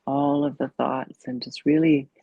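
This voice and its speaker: Female meditation guide: very slow, soothing delivery with a creaky edge; slightly dull recording. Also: a bass voice, little pitch movement, no room sound. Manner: soothing, unhurried, gently instructive with long pauses. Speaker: yoga and meditation coach leading a guided meditation.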